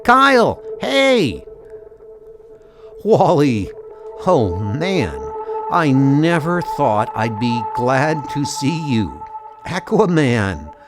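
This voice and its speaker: A man playing an excitable, harried young superhero in a comic book audio drama, with a breezy, eager way of talking. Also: a little high. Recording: music under the voice. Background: music.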